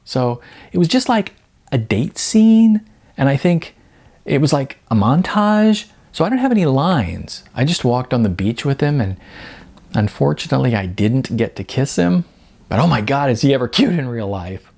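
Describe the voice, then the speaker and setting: A man's voice: mid-range voice, big pitch swings, normal speed, vocal fry, darkish tone. A man voicing a bubbly, starstruck young female model in an audiobook story, gushing in breezy chatter. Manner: gushing, breezy chatter peppered with filler words.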